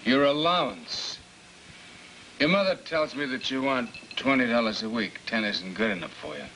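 A weary middle-aged American father in a 1950s film drama. He talks haltingly in a mid-range voice, nagging and evasive. The old soundtrack is noisy, with clicks. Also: mid-range voice, lively intonation, sharp tone. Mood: probing, halting. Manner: halting, hesitant, with trailing tag questions.

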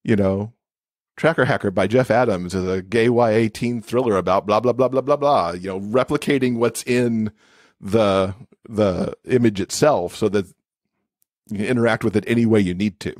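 A man's voice: on the low side, moderate pace, no echo, studio quality. A man speaking as an interview guest, explaining things conversationally with frequent "you know" asides. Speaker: podcast guest, accessibility expert and author.

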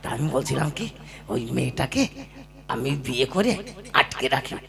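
A man's voice: mid-range voice, very animated, moderate pace, creaky. A man playing an ageing, scheming troupe-master husband in a comic puppet play, coaxing with exaggerated sweet talk. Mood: pleading.

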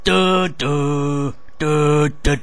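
A man's voice: fairly high pitch, fairly even intonation, extremely slow, no room sound. A man doing a silly, mock-dramatic amateur comedy dub of a cartoon, making the sound effects with his mouth. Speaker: parody dubber.

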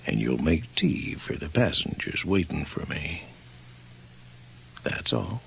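A sinister old trainman in a radio horror play, with a bottomless, crackling gravel voice. He drawls slowly and folksily, like a sly stranger offering a dark bargain, husky and swinging in tone. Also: very animated, exaggerated voice. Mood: quietly menacing. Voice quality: very deep, gravelly. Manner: folksy rustic drawl, unhurried. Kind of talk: radio horror drama acting.

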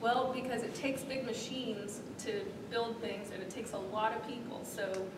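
Woman, 30s, founder guest; thoughtful, halting delivery; distant, roomy office recording. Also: on the high side, fairly even intonation, background noise. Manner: thoughtful, many fillers and restarts. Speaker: startup founder, interview guest. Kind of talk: onstage interview. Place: office meeting room, seated in armchair. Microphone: far room camera. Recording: echoey room audio from far off.

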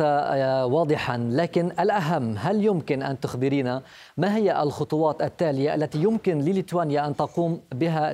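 Very fast-talking mellow male news interviewer. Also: on the high side. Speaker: TV interviewer, presenter. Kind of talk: formal news interview questions. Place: TV studio. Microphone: lapel.

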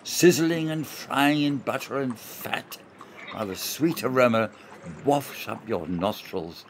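A stubborn older military gentleman played by a male amateur actor in a radio play. He swings animatedly through his lines, lingering on each word with gloating relish. A faint noise sits behind the voice. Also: mid-range voice, expressive. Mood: savoring relish. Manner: savoring, emphatic, drawn-out descriptions.

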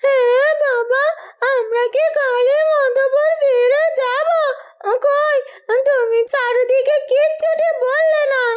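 A woman's voice: very high-pitched, steady tone, takes it slowly, pauses often, pitched above the performer's own voice. A woman voicing a whiny, scornful young village girl in a cartoon. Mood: whining. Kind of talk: cartoon voice acting.

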